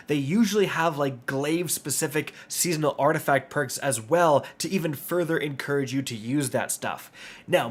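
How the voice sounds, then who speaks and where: Casting: male gaming commentator, slightly high-pitched, nasal from congestion, direct-to-viewer update delivery. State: congested with a stuffy nose. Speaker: gaming video creator and host. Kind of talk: upbeat game update commentary.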